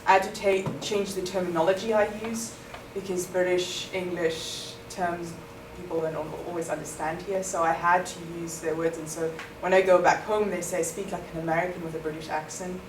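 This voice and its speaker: A young South African woman whose first language is Afrikaans answers interview questions at length. She explains things carefully, with plenty of ums. Her voice sits a little low and is picked up from a distance in an echoey room with steady noise behind it. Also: a little fast, hardly any pauses. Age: young adult. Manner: thoughtful, explanatory, with many ums. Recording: echoey room, far from the mic, noisy.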